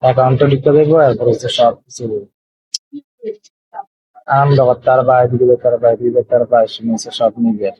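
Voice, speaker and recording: A man's voice: mid-range voice, flat delivery, moderate pace, pauses often, breathy edge, no echo. A man chatting casually and intimately on a call, in colloquial, teasing banter. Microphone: phone video call. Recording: dull, lo-fi call recording.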